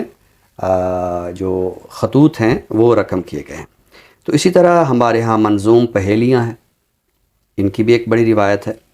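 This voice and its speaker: A man's voice: lowish voice, mellow. A man with a Pakistani accent speaks as a literary scholar on a talk show, explaining books in formal, learned language.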